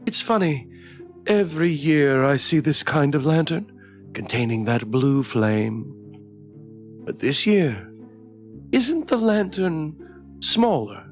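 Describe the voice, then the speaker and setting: A man voicing a calm, menacing witch in a visual novel, teasing and slyly insinuating in a slow manner. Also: fairly high pitch, faint music behind, voice pushed up. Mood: slyly curious. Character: calm, menacing, mockingly curious forest witch woman.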